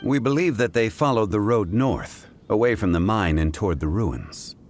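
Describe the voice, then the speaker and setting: Game role, male actor: composed, analytical gentleman consultant, low register, formal articulate delivery with varied intonation. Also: animated, moderate pace, a little hiss or hum, natural voice. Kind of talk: video game voice acting.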